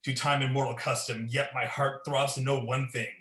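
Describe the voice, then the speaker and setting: A man's voice: medium pitch, flat delivery, normal speed, fairly bright tone, lightly acted. A young man playing an ambitious, guilt-ridden king in a Shakespearean radio play, declaiming in a commanding, theatrical way. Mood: confident, scheming. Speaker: student performer. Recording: echoey room.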